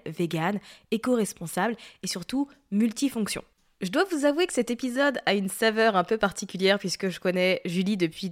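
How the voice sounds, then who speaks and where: A woman hosting an entrepreneurship podcast, chatty and full of small fillers, introducing and interviewing a guest. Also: medium pitch. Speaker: podcast host. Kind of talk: casual interview chat.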